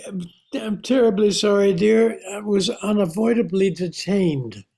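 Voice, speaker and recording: A man's voice: high-pitched, a little slow, dark tone. An older man acting an anxious, apologetic scientist in a radio drama, speaking in a hesitant, formal, mild-mannered way. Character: anxious, apologetic male scientist, husband and uncle. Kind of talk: amateur old-time radio drama acting.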